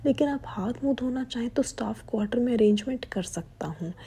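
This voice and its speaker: A woman voicing a polite middle-aged male hotel manager in a low whisper. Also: a little fast, mellow, husky.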